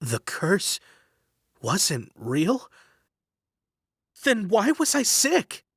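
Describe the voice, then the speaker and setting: A woman's voice: medium pitch, wildly swooping pitch, drawn-out words, slightly rough, no room sound, clean studio sound, heavily acted. A woman acting a timid, superstitious client in a video game, speaking in a hesitant, polite and earnest way. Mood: bewildered.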